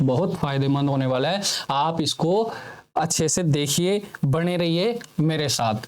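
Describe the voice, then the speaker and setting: A man's voice: fairly high pitch, a little mellow. A man teaching a lesson to students in speech, repeating his points and asking them questions that he answers himself. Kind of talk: classroom lecture for students.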